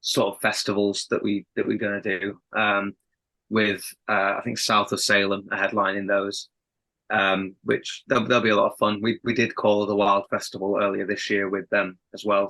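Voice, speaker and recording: A man's voice: on the low side, flat delivery, moderate pace, vocal fry, sharp tone, poor audio. A young man with a faint Sheffield accent, a band's lead singer chatting as a guest in a casual podcast interview, rambling and laughing often. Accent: Sheffield, softened. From England.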